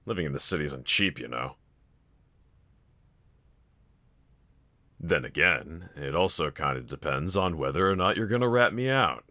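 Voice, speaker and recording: A very low-pitched, sardonic villain, acted out by a man in an intimate audio roleplay. He drawls casually and teasingly, with a bright, edgy tone and a quiet vulnerability underneath. The sound is close and dry. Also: animated, fairly quick, no echo, exaggerated voice. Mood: exasperated, coolly menacing. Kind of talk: fan audio roleplay, intimate one-sided dialogue.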